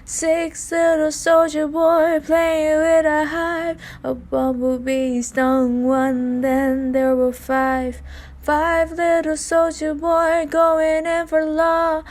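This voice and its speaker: An eerie stage-play rhyme reciter acted by a woman, high and slow in a measured singsong. The delivery stays even and deliberate, with music playing underneath. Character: eerie nursery-rhyme reciter counting down deaths.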